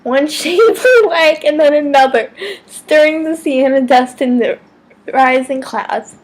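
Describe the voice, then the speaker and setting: A woman giving a mock-dramatic amateur story reading, theatrical and deliberate, now and then stumbling over words. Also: sits high. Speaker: amateur reader of fan fiction.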